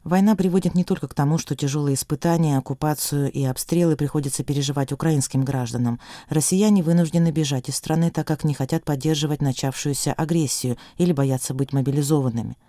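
A man reading a news digest as anchor, in an even, formal newsreader delivery. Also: on the high side, fast, hardly any pauses, dry recording, clean studio sound.

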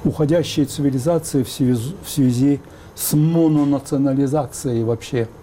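Older man with a very dark, mellow voice. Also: medium pitch, moderate pace, a little hiss or hum. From Azerbaijan (Baku). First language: Azerbaijani and Russian. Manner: meandering, thoughtful, many fillers. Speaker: interview guest. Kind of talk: reflective interview talk.

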